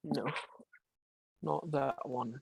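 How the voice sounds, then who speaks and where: Creaky young man coaching trades. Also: mid-range voice, extremely slow, a little mellow, slight background noise. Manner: casual and encouraging, slangy. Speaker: trading mentor. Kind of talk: live online trading lesson.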